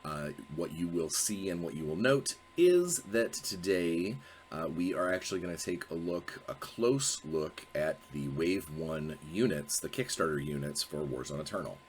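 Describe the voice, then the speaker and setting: Male host, low voice, congested with a cold, rambling and self-correcting, faint background hum. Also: lively intonation, normal speed. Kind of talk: casual solo video talk.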